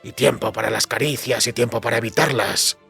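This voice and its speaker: An actor playing a dry, sardonic monster hunter in an audiobook drama, gravelly, laconic and deadpan. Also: male voice, medium pitch, a little fast, full character voice. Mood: deadpan, flirting. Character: dry, sardonic male witcher, weathered monster hunter and lover. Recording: music behind the voice.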